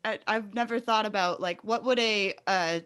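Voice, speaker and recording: A man's voice: high-pitched, moderate pace, sharp tone, clear, resonant tone. A man hosting a podcast interview in a casual, affirming and encouraging manner.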